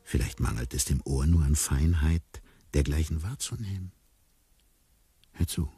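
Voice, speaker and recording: An actor playing a circus tiger who narrates a radio play, pensive and measured, addressing the listener. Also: male voice, very deep voice, mellow, husky, no room sound, soft background music, heavily acted. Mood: musing, enigmatic. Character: circus tiger narrator musing on his own identity.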